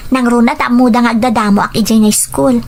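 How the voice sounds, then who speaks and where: A naive, devoted young student from the provinces speaks in a very high voice, played by a woman. It is audio drama dialogue with faint noise and ambience behind it. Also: fairly even intonation, fast, darkish tone, close to an everyday voice. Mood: polite, grateful. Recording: noticeable background noise, ambience.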